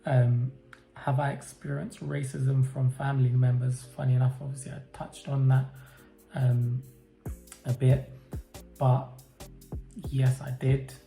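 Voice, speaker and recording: Man from the UK, interviewee; flat, measured, hesitant delivery in a roomy space. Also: mid-range voice, creaky. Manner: hesitant, thoughtful, many pauses and fillers. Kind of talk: reflective personal interview answers. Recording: roomy echo, faint music behind.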